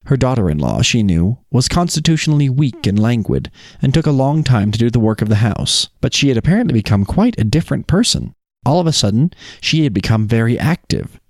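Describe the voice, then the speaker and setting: Man, podcast co-host, storyteller, animated intonation, mid pitch, dry sound. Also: fairly quick, no room sound. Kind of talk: casual chat and storybook reading of folk tales.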